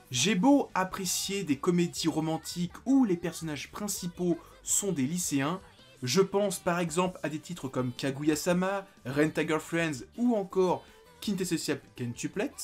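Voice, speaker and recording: A man in his late twenties reviewing a manga in a casual video, talking animatedly and breaking into excited exclamations. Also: on the high side. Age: 20s.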